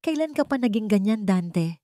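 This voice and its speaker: A woman's voice: medium pitch, lively intonation, normal speed, dark tone, no room sound, studio quality, voice pushed up, lightly acted. A woman voicing a betrayed young wife in a story, intense, earnest and determined. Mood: heartbroken.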